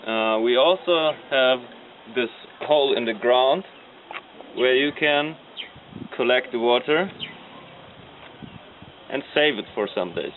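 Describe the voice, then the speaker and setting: Slow-talking German man explaining. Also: mid-range voice, lively intonation. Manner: plain explanatory, halting with fillers. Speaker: design team member. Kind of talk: demonstrating a model. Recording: tinny lo-fi with faint noise.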